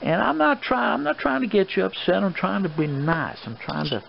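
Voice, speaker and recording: A man's voice: high voice, lively intonation, moderate pace, pitched above the performer's own voice, heavily acted. A man playing an old Colonel in an improvised ASMR role play, giving stern, fatherly admonishment in a rambling, hesitant way. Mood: grave admonishing. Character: old Colonel lecturing his friend's gambling son, paternal, stern. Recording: dull lo-fi audio with clear background noise.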